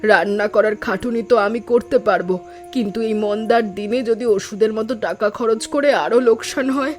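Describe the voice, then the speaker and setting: A woman voicing a worried village wife in an animated story, fretful and skeptical. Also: a little high, fairly quick, faint music behind, lightly acted. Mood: worried, doubtful. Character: worried, doubtful village wife of an old farmer. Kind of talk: Bengali animated story dialogue.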